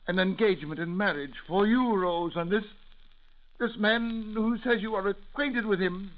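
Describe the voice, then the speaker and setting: Man playing a high-voiced, fretful old uncle. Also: normal speed, close to an everyday voice. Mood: distraught. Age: older. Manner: fretful, pleading, exclaiming. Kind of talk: old-time radio drama acting. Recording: tinny lo-fi vintage radio recording.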